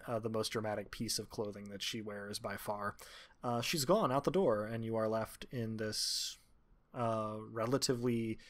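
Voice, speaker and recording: Man game master narrating thoughtfully. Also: fairly low pitch. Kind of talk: improvised tabletop game narration.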